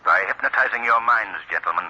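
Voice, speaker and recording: Commanding invisible avenger: intense, very sharp, raspy, formal and mocking, muffled vintage radio sound. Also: male voice, on the low side, brisk. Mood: calm, commanding. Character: invisible male avenger, commanding, coolly menacing. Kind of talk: 1940s radio mystery acting. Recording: dull, muffled old radio recording.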